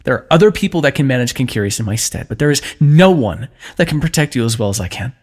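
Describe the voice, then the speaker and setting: A man's voice: medium pitch, very animated, quick pace, darkish tone. A man voicing a protective, lovesick warrior king in a fantasy ASMR roleplay, pleading earnestly with the listener. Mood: pleading, tender.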